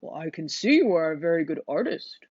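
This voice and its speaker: Woman voicing a gentle, measured owl schoolmaster. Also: low voice, big pitch swings, breathy edge, no room sound, lo-fi sound, exaggerated voice. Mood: encouraging. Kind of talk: children's storybook character voice.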